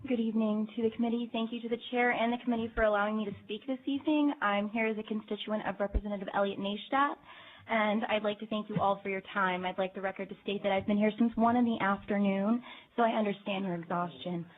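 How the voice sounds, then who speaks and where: A woman's voice: mid-range voice, steady tone, fast talker. A young woman testifying at a committee hearing in a composed, articulate and formal way. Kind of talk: prepared public testimony. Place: legislative committee hearing room. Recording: tinny, phone-like archive audio.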